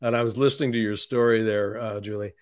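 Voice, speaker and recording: A man hosting a conversational podcast interview, reflective and halting as he works his way toward questions. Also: on the low side, steady tone, darkish tone, smooth. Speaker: podcast host, author.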